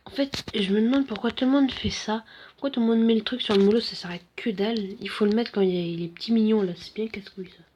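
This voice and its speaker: A man's voice: sits high, fast, a little mellow, a little hiss or hum. A man with a bad head cold giving casual, mumbling commentary over gameplay, full of slang and fillers.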